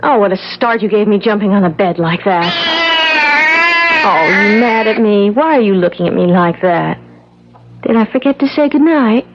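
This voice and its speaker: An actress playing a frightened young pregnant wife in a 1970s radio drama, pleading and close to tears. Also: female voice, medium pitch, moderate pace, very noisy, close to an everyday voice. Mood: coaxing. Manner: tremulous, pleading, near tears. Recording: dull old radio recording with music.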